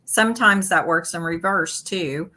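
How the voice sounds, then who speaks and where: A woman's voice: fairly low pitch, measured pace, pushed and bright. A woman, a guest on a talk show, explaining election rules steadily while stuffed up from allergies.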